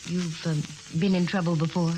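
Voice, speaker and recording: A woman's voice: fairly low pitch, little pitch movement, warm tone, a little hiss or hum, close to an everyday voice, rough recording. Wry actress as a 1940s radio lady detective. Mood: probing, wry. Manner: wry, slangy, brisk. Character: wise-cracking, confident female private eye narrating her case. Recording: old 1940s radio recording, music behind.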